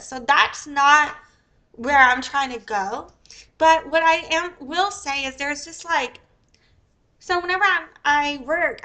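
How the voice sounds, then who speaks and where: Squeaky, bright-voiced young woman. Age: young adult. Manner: rambling, profane, many fillers. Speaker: live streamer. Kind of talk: casual livestream commentary.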